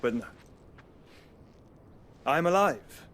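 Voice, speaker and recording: A man's voice: on the high side, animated, very slow, fairly bright tone, a little hiss or hum, full character voice. An actor playing a resolute, courteous nobleman long thought dead, with polite formal speech in a video game. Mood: sober, courteous. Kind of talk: dramatic video game cutscene acting.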